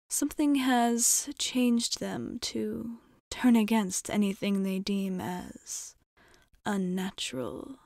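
A woman playing a courteous, guarded vampire bartender in an ASMR roleplay, speaking in soft, measured, formal phrases. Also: medium pitch, no room sound, clean studio sound. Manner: polite, measured, formal, often dropping into near-whisper.

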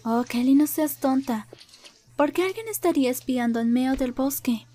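Woman playing a high, mellow, skeptical cartoon she-dog. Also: normal speed, lightly acted. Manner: dismissive, unimpressed. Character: skeptical, dismissive female canine companion. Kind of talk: animated fandub acting.

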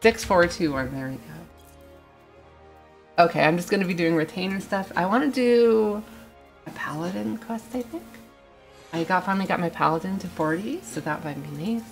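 A woman's voice: fairly low pitch, moderate pace, faint music behind. A woman streamer chatting casually while playing, rambling and thinking aloud with lots of ums and small laughs, a bit sniffly. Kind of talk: casual gaming livestream chat.

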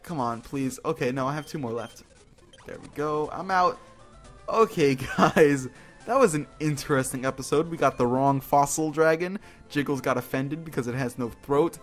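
A man's voice: a little high, a bit bright. Jokey man commentating a game over music. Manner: jokey, self-deprecating, informal with mild swearing. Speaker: gaming video host. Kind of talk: casual gameplay commentary. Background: video game music.

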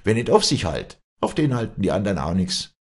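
A man's voice: lowish voice, big pitch swings, fairly quick, slightly rough, pitched above the performer's own voice, full character voice. A man voicing a proud, hot-tempered, jealous young peasant woman in Alpine Bavarian dialect, scornful and hectoring, in a storybook reading. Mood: indignant, cutting.

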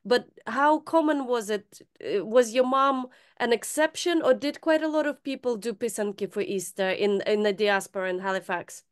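Clear-voiced woman eagerly interviewing. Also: fairly high pitch, expressive. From southern Ukraine. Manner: eager, directing the guest quickly. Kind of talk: conversational interview.

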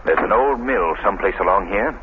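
Man playing deep-voiced, resolute radio detective. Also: animated, fairly quick, close to an everyday voice. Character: calm, resolute male amateur detective. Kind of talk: 1940s radio acting. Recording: tinny, noisy old radio recording.

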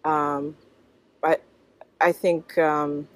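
A middle-aged American woman answering interview questions reflectively, in a thoughtful, halting manner that often checks in with the listener. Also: deep voice, level tone. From North Carolina, US. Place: outdoors in a leafy park.

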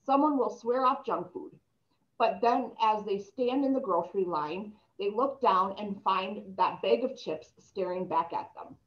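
A woman's voice: mid-range voice, a little slow. Young woman presenter on a video call, slight lisp, reads slides aloud with stumbles, somewhat dull laptop-mic sound. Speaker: presenter reading an article aloud.

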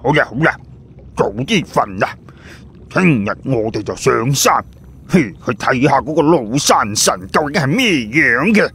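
A man voicing a brash, teasing, jolly adventurer sidekick in an audiobook, with a raspy voice and colloquial, exclamatory banter. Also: mid-range voice, big pitch swings, a little slow, fairly bright tone, voice pushed up, full character voice. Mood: pleased, knowing. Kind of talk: audiobook character acting.

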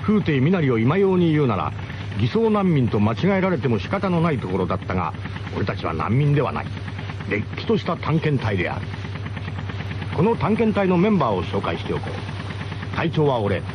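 An actor playing a brash, mock-grandiose expedition leader who narrates a comic radio drama in pompous formal phrasing. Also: male voice, mid-range voice, background noise, natural voice. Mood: mock-heroic.

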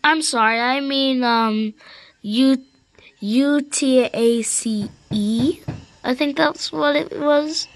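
A high-voiced female narrator tells an improvised story for a hobby cartoon. The delivery is halting, with repeated and restarted words as the tale gets pieced together. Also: dry recording.

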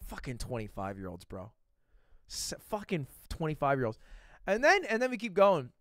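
Animated young man chatting on stream. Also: a little high, moderate pace, no room sound, studio quality. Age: 20s. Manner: animated, swears casually, reads out viewer names. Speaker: live streamer. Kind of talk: casual chat with viewers.

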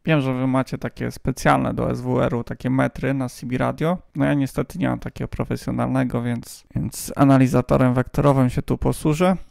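Very creaky-voiced man explaining radio gear. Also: mid-range voice, flat delivery, a little fast, few pauses, darkish tone, no echo, clean studio sound. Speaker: amateur radio hobbyist host. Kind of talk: casual explanatory vlog talk.

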